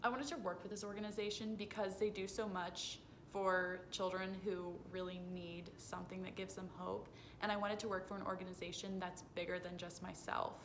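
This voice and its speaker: A woman in her twenties giving earnest, explanatory, rehearsed answers as an interviewee. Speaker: interviewee, foundation communications director. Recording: phone video with noisy low rumble.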